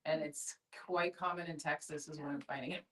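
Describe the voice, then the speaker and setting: A woman's voice: low voice, fairly even intonation, a little fast. A woman sharing candidly in a group discussion, blunt and emphatic. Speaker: discussion participant. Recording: echoey room, distant from mic.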